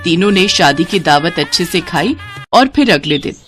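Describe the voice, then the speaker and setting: A woman's voice: lowish voice, lively intonation, normal speed, over music. A woman storyteller reading scripted cartoon story narration, with faint noise behind her.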